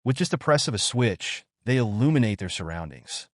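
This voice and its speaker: A man voicing a soft-spoken gentle young woman. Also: mid-range voice, very animated, fairly quick, no echo, clean studio sound. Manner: soft, gentle and warmly polite.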